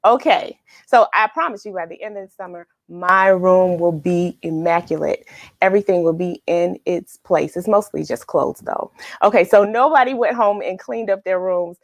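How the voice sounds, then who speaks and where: A woman hosting a live read-along for children, chatting with viewers in an upbeat, excited manner. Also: fairly low pitch, moderate pace, no room sound.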